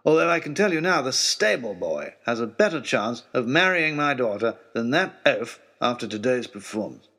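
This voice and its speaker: A man's voice: mid-range voice, very animated, normal speed, hardly any pauses, bright, forceful tone, full character voice. A man voicing a haughty, irascible English lord with an upper-class accent and a blustering, dismissive manner. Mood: grudging, scornful.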